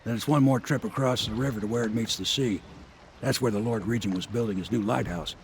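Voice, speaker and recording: An actor playing a loyal, weary old boatman in a video game, with a gravelly voice and folksy, plainspoken manner. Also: on the low side, a little fast, lightly acted. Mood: weary, resigned. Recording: clear water and boat ambience noise.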